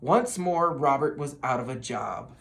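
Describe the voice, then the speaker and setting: A person reading a children's story aloud in an expressive, dramatic manner. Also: mid-range voice, measured pace, vocal fry, slight background noise. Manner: expressive, with dramatic sound words. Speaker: storybook reader.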